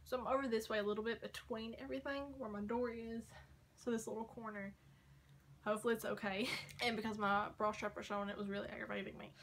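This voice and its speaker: Young woman, casual haul vlogger, chatty, hoarse voice, filmed in a bedroom corner. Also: on the high side, flat delivery, quick pace, a bit bright. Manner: chatty, rambling, frequent likes and ums. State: losing her voice. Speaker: beauty vlogger. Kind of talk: casual makeup haul chat. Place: small bedroom corner by a door.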